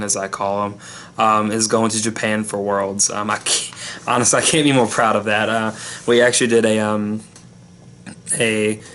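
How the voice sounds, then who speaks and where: A man's voice: on the low side, pushed and bright, a little hiss or hum. Laid-back young man rambling a recap. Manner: rambling, many ums and restarts. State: recovering from losing his voice. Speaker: YouTube channel host. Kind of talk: casual vlog recap.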